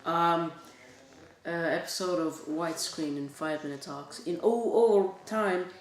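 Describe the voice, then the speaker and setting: Host in an echoey room. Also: medium pitch. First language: Bulgarian. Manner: halting, non-native phrasing with many restarts. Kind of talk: casual talk-show monologue to camera. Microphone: camera set back from the speaker. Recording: echoey room, distant mic, faint hiss.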